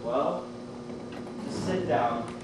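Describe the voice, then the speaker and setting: Laconic, easygoing older householder, male actor, very slow; stage play heard through heavy echo. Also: medium pitch. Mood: placid. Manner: laconic, placid. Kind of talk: live one-act stage comedy acting. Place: theatre stage, recorded from afar. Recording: very echoey noisy stage, distant mic.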